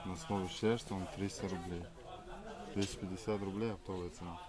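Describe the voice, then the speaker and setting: A man with a low voice talks as a stall seller. His answers are brief and matter-of-fact, and a busy market crowd is heard around him. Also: fairly even intonation, a little fast. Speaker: fabric seller. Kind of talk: casual sales talk, short answers. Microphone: off-camera, near handheld camera.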